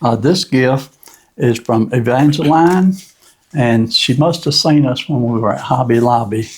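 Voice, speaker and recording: A man's voice: mid-range voice, unhurried, a little mellow, husky, poor audio. An older man co-hosting a channel, plainly reading out viewer gifts and thanking each sender. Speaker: channel co-host. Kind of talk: casual chat reading viewer mail.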